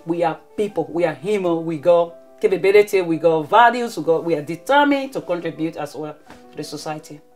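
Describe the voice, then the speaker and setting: A woman's voice: lowish voice, lively intonation, brisk, darkish tone, soft background music. A woman giving earnest interview testimony, emphatic and repeating phrases for force. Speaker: interviewee, former refugee.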